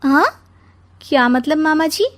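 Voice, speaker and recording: A woman voicing a cheeky, confident young daughter-in-law in a comic animated story, playful, taunting and expressive. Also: high-pitched, takes it slowly. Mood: politely puzzled.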